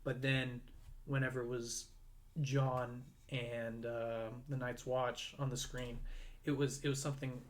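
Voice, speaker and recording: A man's voice: mid-range voice, little pitch movement, unhurried. A young man co-hosting a podcast, chatting casually in a rambling way full of fillers. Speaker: podcast co-host. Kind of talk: casual chat.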